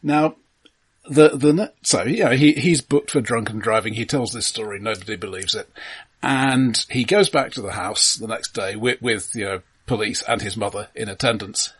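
A man's voice: on the low side, breathy edge. A middle-aged British man in a casual film discussion, often restarting his words as he talks. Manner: halting, repeated word starts. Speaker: film podcast host.

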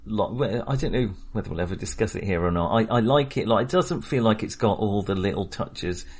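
A man's voice: on the low side, a little fast, a little mellow, husky. A man co-hosting a casual film podcast, talking with many fillers and restarts. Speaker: podcast co-host.

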